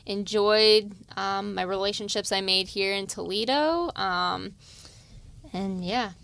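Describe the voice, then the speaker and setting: A woman's voice: medium pitch, takes it slowly, vocal fry, fairly bright tone. Light-voiced woman police officer. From West Virginia. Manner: light, youthful voice. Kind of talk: casual interview.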